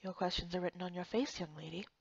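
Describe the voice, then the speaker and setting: A wise old male turtle master from a fantasy game, acted out by a woman, speaks slowly and quietly in measured, formal phrases. The voice is calm and restrained, captured in a dry, slightly dull recording. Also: fairly low pitch, husky, dry recording, voice pushed down. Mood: calm, knowing.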